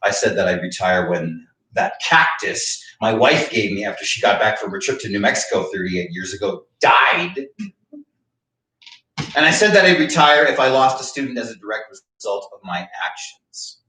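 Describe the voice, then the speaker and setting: An actor in a staged reading playing a bitter, drunken older philosophy professor, pompous and sardonic. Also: male voice, on the low side, animated, moderate pace, bright, forceful tone. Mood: bitter, brooding. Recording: echoey room, distant microphone.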